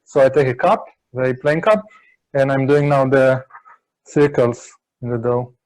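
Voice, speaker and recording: Halting man chatting while cooking. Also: medium pitch, steady tone, darkish tone, poor audio. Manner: halting, many restarts and "I mean". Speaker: chef and Berlin food tour guide. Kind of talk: casual cooking chat.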